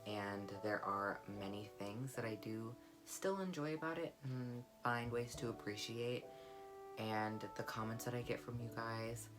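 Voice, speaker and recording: A young woman with a deep, warm voice, speaking candidly and reflectively in a personal vlog. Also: measured pace. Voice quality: deep, low voice; warm, comforting. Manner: candid, reflective, soft-spoken. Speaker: vlogger. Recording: guitar music playing underneath. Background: guitar music.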